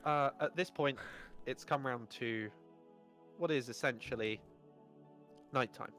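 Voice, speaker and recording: A man's voice: medium pitch, slow pace. Male game master, laid-back, chuckling, filler words, faint music behind. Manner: relaxed, laughing, lots of filler uh and um. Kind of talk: narrating a tabletop game.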